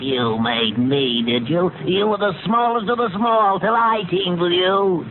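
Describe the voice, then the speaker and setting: A man's voice: high voice, a little slow, a little hiss or hum. A man playing a sneering, taunting ventriloquist's dummy in 1940s radio style. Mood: sneering contempt. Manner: sneering, insulting. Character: spiteful, taunting ventriloquist's dummy with a mind of its own. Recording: tinny old radio recording with music.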